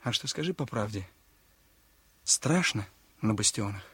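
An actor playing an eager, naive young officer and younger brother in a theatrical, exclamatory radio drama style. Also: male voice, fairly low pitch, animated, a little slow, bright, forceful tone, breathy edge, no room sound, full character voice. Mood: curious, earnest. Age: young adult. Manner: exclamatory, boyishly chatty, emotive. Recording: radio play with faint music.

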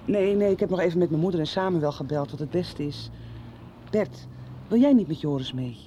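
A formal, earnest young woman who organizes everyone's escape. Her voice is mellow and soft-edged. It is a lo-fi 1990s radio soap with faint street noise behind. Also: low-pitched, lively intonation, fairly quick. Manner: earnest, formal, taking charge and making plans. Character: earnest young woman who was hidden as a child, now protective.

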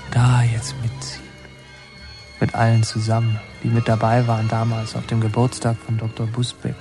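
An actor playing a brooding young man who narrates his memories in a reflective, deliberate, literary radio drama style. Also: mid-range voice, completely flat delivery, close to an everyday voice. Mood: dreamlike, intense. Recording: prominent music under the voice. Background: music.

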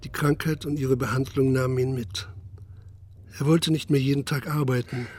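Sober male narrator, medium pitch. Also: fairly even intonation, moderate pace, a little mellow. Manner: sober, detached storytelling. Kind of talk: scripted literary narration in radio drama.

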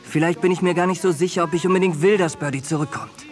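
Bitter, intense young soldier male actor, slightly high voice, blunt and brooding, radio drama with soft music underneath. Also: fairly even intonation, fast talker, natural voice. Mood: bitter, agitated. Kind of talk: German radio drama acting.

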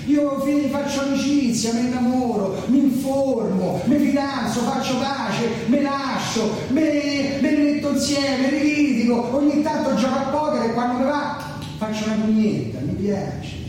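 High-pitched manic internet-hermit drunkard. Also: male voice, measured pace, few pauses, darkish tone. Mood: manic, boastful. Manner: rattling off repetitive lists, emphatic. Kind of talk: satirical stage character monologue. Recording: distant, noisy, very echoey hall recording.

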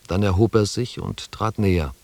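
Expedition narrator in an audio play, low voice, sober measured storytelling, very dry recording. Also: male voice, creaky, darkish tone, no echo. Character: composed expedition member recounting the journey. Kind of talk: scripted literary narration in German audio drama.

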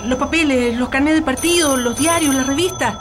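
Woman acting an alarmed high-voiced comrade. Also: brisk, noisy background, lightly acted. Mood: alarmed, urgent. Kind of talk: radio drama acting. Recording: music behind the voice.

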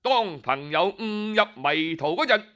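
High-voiced, unhurried Cantonese male storyteller. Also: lively intonation, sharp tone. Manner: theatrical, emphatic, deliberate phrasing. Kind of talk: traditional Cantonese storytelling, dramatic narration.

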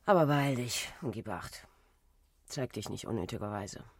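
A woman playing a wild, capricious Parisian courtesan in a radio play, teasing, halting and volatile. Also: rumbling low, animated, breathy edge, no room sound, studio quality, heavily acted. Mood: coaxing.

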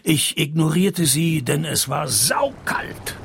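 An actor playing a wry, hard-drinking private detective, speaking in a deadpan, laconic way in a noir radio play. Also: male voice, a little high, slow pace, a little hiss or hum, full character voice. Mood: dry narrating. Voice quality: husky, gravelly. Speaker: narrator and lead.

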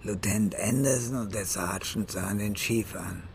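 A man's voice: fairly low pitch, moderate pace, creaky, slight background noise. A man narrating a scripted crime story in a radio drama.

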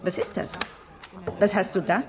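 An actress playing a brisk, candid woman who minds small children, in an expressive yet matter-of-fact radio drama style. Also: mid-range voice, music in the background, lightly acted. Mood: curious, thoughtful. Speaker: actor in a radio crime play. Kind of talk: 1980s radio drama dialogue.

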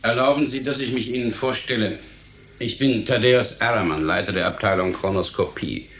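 A man acting in a German radio drama as a coldly authoritative, menacingly polite department chief with a formal, measured delivery. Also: fairly low pitch, a bit bright, slight background noise. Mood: formal, controlled.

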